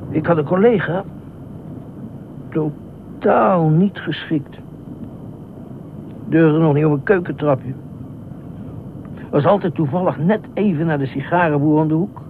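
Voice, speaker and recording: Anxious, high-voiced obsessive man. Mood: earnest, rambling. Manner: halting, repetitive, pedantic, fretful. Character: anxious, obsessive widowed ex-fireman, pedantic about swimming. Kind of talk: Dutch radio play acting. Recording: muffled narrow-band old radio recording, faint rumble.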